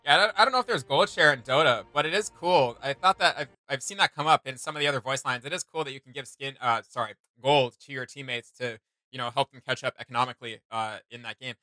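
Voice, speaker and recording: A young man chats casually as a reaction host. His mid-pitched voice is very bright and forward, recorded dry and close. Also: quick pace, no echo. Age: young adult. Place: small indoor home studio room.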